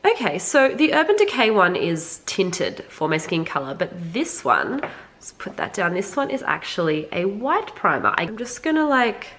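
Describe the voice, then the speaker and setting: A woman's voice: medium pitch, wildly swooping pitch, normal speed, fairly bright tone, slight background noise. Congested, slightly nasal woman chatting about makeup. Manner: informal, frequent "like", addressing viewers directly. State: sick with a cold. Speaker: beauty vlogger reviewing makeup. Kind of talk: casual chatty makeup review to viewers.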